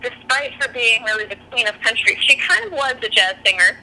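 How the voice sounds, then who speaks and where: A woman's voice: fairly high pitch, lively intonation, a little fast, sharp tone, slight background noise, poor audio. A woman guest talking candidly and easily in a podcast interview, an author and singer. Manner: chatty and candid, laughs easily.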